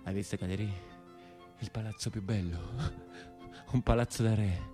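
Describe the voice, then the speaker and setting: A male actor playing a tender, dreamy bridegroom, speaking softly and intimately in a lyrical radio play. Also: fairly low pitch, steady tone, moderate pace, over music, close to an everyday voice. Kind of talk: theatrical monologue in a radio play.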